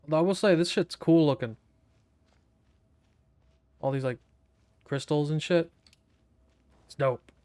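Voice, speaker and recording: Young male gaming streamer: slightly high voice, dry close sound, offhand, slangy and irreverent. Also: unhurried, long pauses, darkish tone, no room sound. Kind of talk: casual, sweary let's-play commentary.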